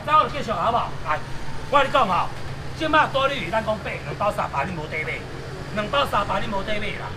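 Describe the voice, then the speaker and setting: A middle-aged man with a Taiwanese accent barks out seafood prices at rapid speed, his voice high and swinging widely as he teases the crowd. He is caught from some distance by a camera at an outdoor market stall. Loud street noise and passing traffic sit under him. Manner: loud, teasing, rapid-fire price calling. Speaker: seafood auctioneer. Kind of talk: rapid street auction patter. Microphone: far camera.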